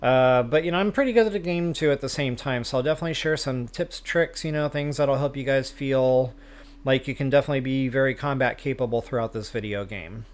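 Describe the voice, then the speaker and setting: A man's voice: medium pitch, fairly quick. A man hosting a game livestream, chatting casually with viewers in a self-deprecating way. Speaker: live stream host, gamer.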